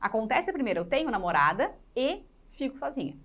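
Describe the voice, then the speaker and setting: Casting: female teacher, fairly high voice, didactic explainer who repeats points and asks questions. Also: very animated, brisk, fairly bright tone. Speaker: teacher in an exam-prep class. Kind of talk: live lesson explaining exercises step by step.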